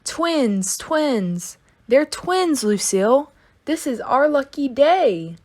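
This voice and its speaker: Excitable little schoolgirl played by a woman reader, high, gushing, very animated, for a children's storybook. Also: takes it slowly, full character voice. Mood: eager, urgent. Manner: gushing, exclamatory, childlike phrasing. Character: excitable first-grade girl, dramatic, eager and clingy. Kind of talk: children's storybook read-aloud.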